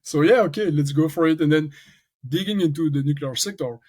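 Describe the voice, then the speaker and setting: A talkative man with a strong Belgian French accent, speaking in a fairly high voice. He thinks aloud as he goes, restarting his phrases in a relaxed podcast conversation. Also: fairly quick. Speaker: venture capital founder, guest. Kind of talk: casual podcast interview.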